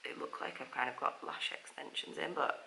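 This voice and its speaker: A young woman chatting casually to camera as a travel vlogger, croaky from just waking up, rambling and giggly. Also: extremely low pitch, steady tone, brisk, bright, forceful tone, roomy sound, slight background noise, low-quality recording.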